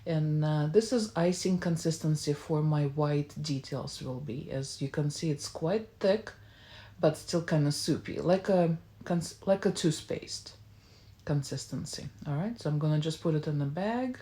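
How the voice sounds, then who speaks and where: Female tutorial host, relaxed and warm in tone, slightly low register, casual step-by-step narration. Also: unhurried. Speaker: baking channel host.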